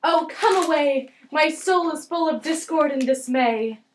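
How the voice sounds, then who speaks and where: A high voice rings in an echoey room as an anxious king played by a woman gives orders. The delivery is declamatory, in old verse, as in a homemade puppet-show staging of Shakespeare. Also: measured pace, slight background noise. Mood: urgent, troubled. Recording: echoey room, amateur recording.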